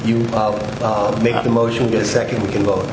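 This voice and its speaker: A man's voice: on the low side, fairly quick. Man, council official, formal reading, echoey room, background noise. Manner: steady formal reading, helpful about procedure. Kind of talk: reading ordinances aloud. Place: council chamber.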